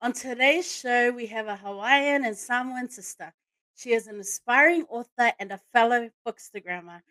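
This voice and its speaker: High-voiced enthusiastic woman hosting a podcast. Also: moderate pace, fairly bright tone, dry recording. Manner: enthusiastic, familiar sisterly address. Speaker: podcast host. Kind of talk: casual podcast interview.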